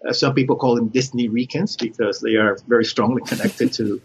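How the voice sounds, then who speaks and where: A middle-aged man from Cuba and Puerto Rico, an academic guest explaining his research in measured, halting phrases with frequent pauses. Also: mid-range voice, fairly even intonation, creaky. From born in Cuba, raised in Puerto Rico. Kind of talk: explanatory interview talk. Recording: dull lo-fi sound with background noise.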